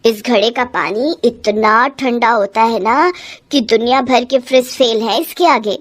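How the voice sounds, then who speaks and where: A woman's voice: on the high side, unhurried. A woman voicing a stingy, fussy older mother-in-law in a cartoon story, grumbling and full of complaining exclamations.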